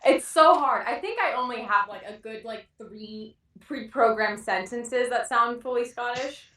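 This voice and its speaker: A woman guest chatting over a video call in a bubbly, animated, giggly way. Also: on the high side, unhurried, roomy sound, recorded from a distance.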